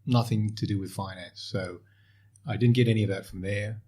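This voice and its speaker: A man's voice: on the low side, steady tone, normal speed, dark tone. A man from Asia, a portfolio manager, answering interview questions in a loose, hesitant way. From Asia (Hong Kong, Malaysia, Singapore). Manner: hesitant, many fillers and restarts. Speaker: podcast guest, portfolio manager.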